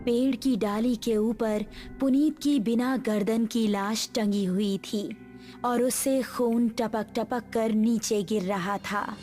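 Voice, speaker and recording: A woman's voice: fairly high pitch, steady tone, slow pace, dark tone, soft background music. A woman reading a scripted horror story as its narrator.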